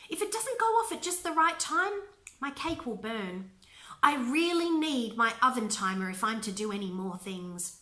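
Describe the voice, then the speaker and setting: A woman's voice: fairly high pitch, sing-song, moderate pace, pushed and bright, roomy sound. A woman voicing a fussy young woman baker who complains insistently, in a storybook reading. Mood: fretful.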